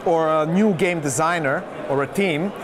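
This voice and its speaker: A man asking interview questions politely and a little haltingly. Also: a little high, noisy background. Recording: busy event crowd behind the voice.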